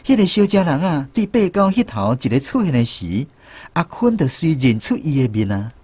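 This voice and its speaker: A man's voice: fairly high pitch, big pitch swings, normal speed, darkish tone, slightly rough. A man with a Taiwanese accent narrating a radio drama as a storyteller.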